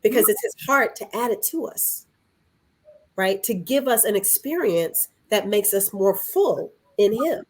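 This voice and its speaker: A woman's voice: mid-range voice, lively intonation, unhurried, a little mellow, breathy edge. A woman talking reflectively in a conversational podcast style, earnest, often repeating phrases and posing questions. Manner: earnest, rhetorical questions and repetition. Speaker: podcast guest.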